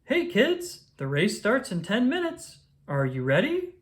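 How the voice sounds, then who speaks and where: Woman putting on a high, jaunty storybook grandpa. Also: wildly swooping pitch, a little slow. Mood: breezy.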